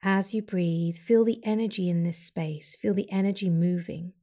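A woman leads a guided breathing meditation in a mellow, slightly low voice. She gives slow, soft, soothing instructions and leaves long pauses between them. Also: steady tone.

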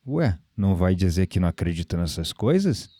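An actor playing a gentle, earnest spiritual confidant with a Brazilian accent in an audio drama. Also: male voice, deep voice, big pitch swings, brisk, no echo. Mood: surprised, teasing.

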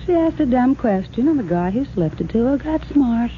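A woman's voice: a little high, lively intonation, moderate pace, a little hiss or hum, natural voice. An actress playing an evasive, nervous young gangster's girlfriend, slangy and guarded, in a 1940s radio crime drama. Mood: reluctant. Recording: tinny, narrow old radio recording.

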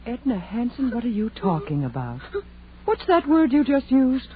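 A woman's voice: fairly high pitch, sing-song, normal speed, phone-quality sound. An actress playing an earnest, anxious young woman in theatrical 1930s radio drama style, on a scratchy old recording. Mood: firm. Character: anxious, earnest young working woman trying to keep order.

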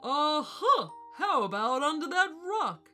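A woman voicing a huge, smug, boastful male elephant in a children's storybook, booming and condescending. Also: very animated, slow pace, pushed and bright, soft background music, full character voice. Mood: gloating.

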